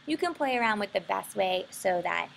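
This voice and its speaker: American lady doing a screencast how-to, animated pitch, bit of vocal fry. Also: normal speed, slight background noise. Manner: informal, explanatory. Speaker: software instructor. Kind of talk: screencast tutorial narration.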